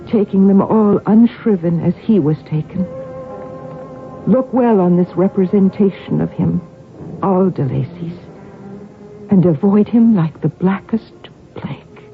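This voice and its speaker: A young noblewoman in an old radio play, resolute but anxious. The sound is narrow and tinny like an old broadcast, with some music underneath. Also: lowish voice. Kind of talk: 1970s radio drama acting.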